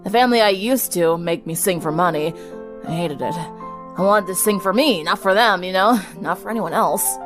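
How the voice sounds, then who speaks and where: A woman plays a brash teenage girl, once a siren, in a dramatic fan-fiction reading. She is sarcastic and dismissive, quick to snap and grudging when candid. Music plays clearly underneath. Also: medium pitch, natural voice. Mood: guarded, confiding.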